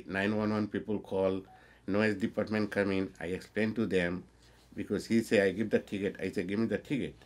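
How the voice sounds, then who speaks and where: A man from a mosque speaking plain, halting English in an interview. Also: lowish voice, level tone, darkish tone.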